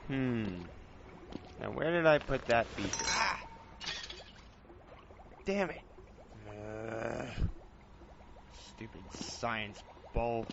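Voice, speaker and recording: A man's voice: on the low side, creaky, background noise. A man playing a chatty apprentice wizard who explains his studies in a theatrical fantasy podcast. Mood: grumbling, distracted. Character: young wizard's apprentice, chatty, grumbling, eager amateur scholar. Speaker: host. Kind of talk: scripted fantasy podcast monologue and narration. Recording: dull lo-fi home recording, loud music. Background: music.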